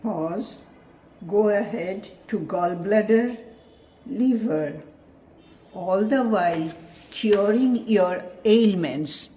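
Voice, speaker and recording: Slow, mellow woman guiding meditation. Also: mid-range voice, rough recording. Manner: measured, instructive, soothing. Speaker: meditation guide. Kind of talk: guided meditation, scripted narration.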